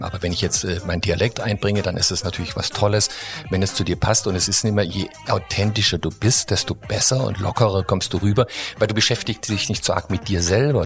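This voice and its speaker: Ein Mann, der als Gast in einem Interview sicher und erklärend über die Buchung von Rednern spricht. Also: male voice, deep voice. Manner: confident, explanatory, with hesitations. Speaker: speaker agency guest. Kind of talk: explaining in an interview.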